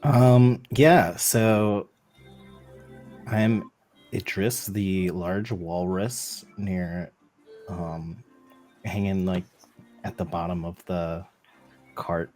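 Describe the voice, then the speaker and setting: A man playing a tabletop roleplaying game, describing his character in a halting, playful way. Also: sits low, steady tone, a little mellow, soft background music.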